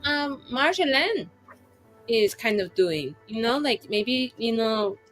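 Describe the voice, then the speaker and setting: Casting: woman game player, fairly high voice, chatty casual brainstorming, faint music behind. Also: lively intonation, takes it slowly, a bit bright. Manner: chatty, peppers talk with "like" and quoted reactions. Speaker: player in a tabletop roleplaying game. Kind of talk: casual chat, thinking aloud.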